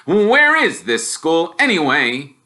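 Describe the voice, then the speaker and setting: Male reader as grumpy middle-aged uncle, storybook voice, high-pitched, loud, scornful growl, sharp edge. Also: huge pitch swings, slow pace, heavily acted. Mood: sneering. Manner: growling, scornful, forceful bark. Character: gruff, sneering, bad-tempered middle-aged uncle. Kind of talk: storybook character voice.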